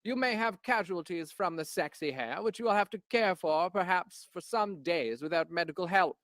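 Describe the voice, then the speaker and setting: A man's voice: high voice, expressive, normal speed, fairly bright tone, no room sound. A man reading a scripted public information announcement in a formal, instructional way.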